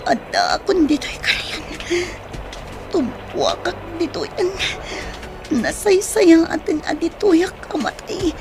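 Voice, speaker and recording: High-pitched frightened young rebel woman. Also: animated, slow pace, music in the background, full character voice. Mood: anguished, desperate. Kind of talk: radio drama acting.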